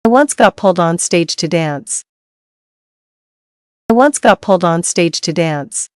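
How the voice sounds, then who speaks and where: A woman reads short English dialogue lines for learners, each one twice, slow and distinct. Her voice sits low and sounds smooth and resonant. The recording is close and dry. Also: lively intonation, no echo. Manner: slow, clear, each line read twice. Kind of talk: scripted dialogue reading for learners.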